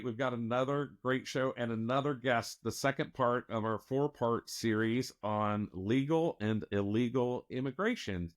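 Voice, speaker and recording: A man's voice: mid-range voice, no room sound. Friendly guy hosting a podcast, asks questions, mid voice, dry mic. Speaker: podcast co-host. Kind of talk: interviewing a guest.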